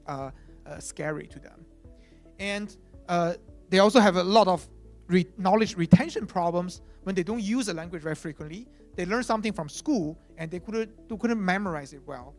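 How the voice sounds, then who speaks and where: A man whose first language is Chinese, giving a conference talk as chief product officer, speaking conversationally with many "uh" fillers and "right?" tags. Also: high voice.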